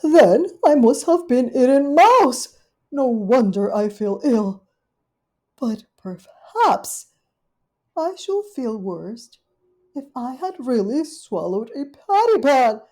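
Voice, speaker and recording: Woman reading as a nervous, proper lady dog, high and worried, storybook figure. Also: big pitch swings, slow, a little mellow, heavily acted. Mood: fretful. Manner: worried, genteel, talking to herself. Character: fretful proper female dog, anxious and polite.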